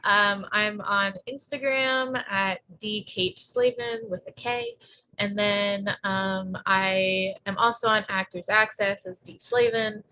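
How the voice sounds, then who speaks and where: A woman's voice: medium pitch, steady tone, slow pace, pushed and bright, poor audio. A young woman, an aspiring actress, casually introducing herself. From New York area. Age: young adult.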